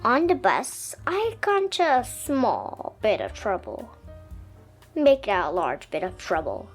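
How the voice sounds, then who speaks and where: A woman reading a children's picture book aloud expressively, with dramatic, emphatic pauses. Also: a little high, wildly swooping pitch. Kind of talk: expressive children's storybook narration. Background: music.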